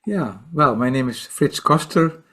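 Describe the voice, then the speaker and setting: Guest, Dutch man, 60s, unhurried, hesitant, lots of fillers and restarts. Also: fairly high pitch, lively intonation, a bit bright, breathy edge. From Netherlands. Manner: slow, halting, with many repeated words and fillers. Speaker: guest, meditation teacher and author. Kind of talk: podcast interview.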